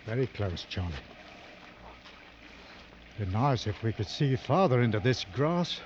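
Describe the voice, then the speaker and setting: An actor playing a weary, shaken big-game hunter, candid and grim, in 1950s radio drama style. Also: male voice, mid-range voice, lively intonation, unhurried, natural voice. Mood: weary confession. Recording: muffled, noisy old radio drama recording.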